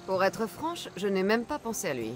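A woman's voice: medium pitch, big pitch swings, normal speed, music in the background, lightly acted. An actress playing a tough, wry female mercenary hunter in a French game dub, dry and blunt. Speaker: video game voice actor.

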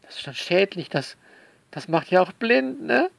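A man speaks slowly in a fairly high, creaky voice, close and dry. He comments to his listeners with theatrical sarcasm and mock indignation, stretching key words for emphasis. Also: big pitch swings, no room sound. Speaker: author commenting on their own novel. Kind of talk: sarcastic spoken essay addressed to listeners.

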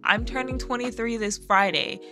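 Young American woman, playful YouTube reactor, high bright voice, casual slang, music behind her. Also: normal speed. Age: 20s. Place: small bedroom studio, indoors. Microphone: close boom-arm microphone.

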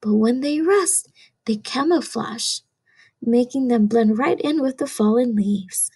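A woman with a high, slightly husky voice reads a children's picture book aloud. She takes her time and speaks expressively, pitching every line to young listeners. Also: a little slow, dark tone. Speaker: storyteller reading aloud.

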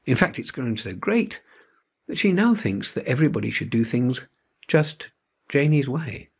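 A man in his seventies reading a children's storybook aloud with expressive narration. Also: mid-range voice, normal speed, creaky, slightly rough. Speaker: storybook reader. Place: indoor room at home.

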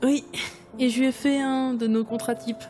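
A woman playing a strong-willed, sardonic book editor in an audio drama, halting and expressive. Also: sits high, background noise, lightly acted. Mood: wry, collected. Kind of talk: French audio drama acting. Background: music.

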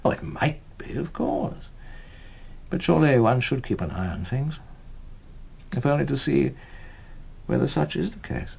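An actor playing a measured, persuasive intelligence officer in a British radio drama, speaking formally and deliberately with a British accent. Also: male voice, medium pitch, very animated, vocal fry, darkish tone, husky, full character voice. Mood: grave, ominous.

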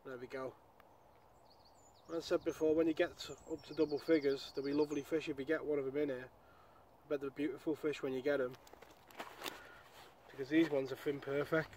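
A young man talks through his catch in a chatty, upbeat way, with lots of fillers. His voice sits a little high. He is recorded outdoors on a pond bank through a nearby action camera, with a faint outdoor hum behind him. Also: little pitch movement, a little fast, darkish tone. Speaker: angler vlogger. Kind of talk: casual outdoor commentary. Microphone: action camera mounted nearby.

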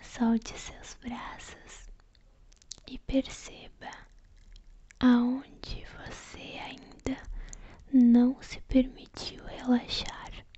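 A Brazilian woman whispers a guided ASMR meditation in a soft, mellow voice. She goes extremely slowly and keeps her tone very even, with gentle, affectionate soothing. The sound is slightly muffled. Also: a little high, slightly rough. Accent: Brazilian Portuguese. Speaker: ASMR creator guiding a sleep meditation.

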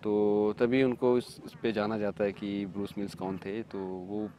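A man's voice: on the low side, a little slow, slight background noise. Hesitant man in a casual interview. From India. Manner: hesitant, many fillers, relaxed. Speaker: paragliding pilot. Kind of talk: interview answers.

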